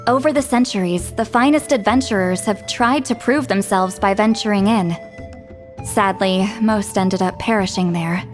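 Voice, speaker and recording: An actress playing a wise, wry elderly woman who guides spirits, speaking in an unhurried storytelling way for a video game. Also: mid-range voice, faint music behind, lightly acted. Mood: solemn storytelling.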